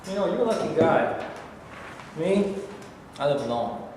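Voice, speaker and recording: A man's voice: a little high, sing-song, unhurried, a little mellow. A man playing a blunt ex-boxer uncle. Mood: coaxing. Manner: blunt, persuasive, working-class. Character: middle-aged former boxer uncle, blunt and coaxing. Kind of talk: staged play reading. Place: large bare rehearsal studio. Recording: very echoey room, faint hiss.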